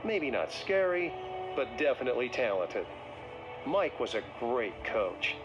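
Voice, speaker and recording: A man narrating a scripted read-along story for children in a dramatic, expressive way. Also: medium pitch, measured pace, muffled recording, background noise. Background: music.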